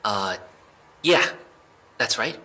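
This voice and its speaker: A man playing an evasive, jittery young man being questioned by police, halting and defensive, in a naturalistic audio drama. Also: on the low side, expressive, sharp tone, full character voice. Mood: hesitant.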